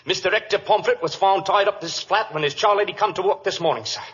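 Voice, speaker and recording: An actor playing an eager, deferential London constable, in 1940s radio drama style. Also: male voice, high voice, a bit bright. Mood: nervous. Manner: deferential, hurried. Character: Albert, eager deferential young male London police constable. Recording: dull vintage radio recording with hiss.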